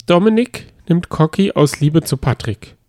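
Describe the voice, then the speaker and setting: A man's voice: fairly high pitch, animated, measured pace, darkish tone, dry recording, clean studio sound. A man co-hosting a casual podcast with playful, teasing banter. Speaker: podcast co-host. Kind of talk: casual banter recapping reality TV.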